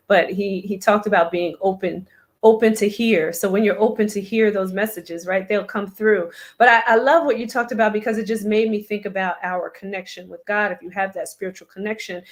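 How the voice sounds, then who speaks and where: Woman, show host, slightly hoarse, encouraging, casual chat, middle pitch.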